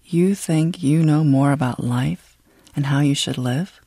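A reader acts out a stern immigrant father in a literary audiobook. He is bitter and scolding, holding his anger under control. The sound is close and dry, at a medium pitch. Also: unhurried, mellow, dry recording.

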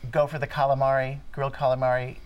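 A man talking as a guest reviewer, measured and appreciative. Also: medium pitch, flat delivery, clear, resonant tone, muffled recording. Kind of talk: casual chat.

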